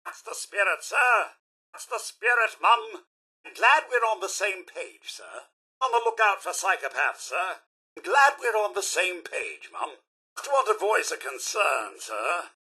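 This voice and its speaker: An actor playing a prim, loyal robot butler in a video game, with a British accent and a formal, theatrical delivery. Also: male voice, high-pitched, sing-song, unhurried, sharp tone, heavily acted. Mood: polite. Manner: formal, over-polite, crisply enunciated, dramatic.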